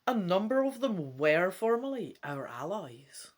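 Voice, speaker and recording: Woman voicing an earnest, animated young adventurer. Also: fairly low pitch, a little slow. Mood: determined, hopeful. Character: earnest young royal adventurer in disguise, determined, indignant. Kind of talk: dramatic gamebook reading.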